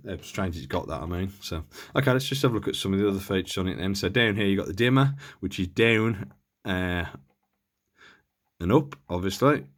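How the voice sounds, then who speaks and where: Male presenter, low voice, off-the-cuff and tentative, talking through equipment informally. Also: lively intonation, fairly quick. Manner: hesitant, tentative, thinking aloud. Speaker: presenter of a radio equipment demonstration. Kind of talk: casual unscripted hands-on demonstration.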